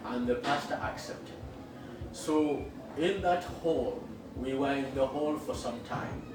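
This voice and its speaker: Echoey middle-aged Kenyan man recounting earnestly. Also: mid-range voice. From western Kenya. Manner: earnest, unhurried, with frequent fillers and restarts. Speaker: school founder and headmaster. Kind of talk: interview answers, storytelling. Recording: echoey room, far from the mic, with noticeable background noise.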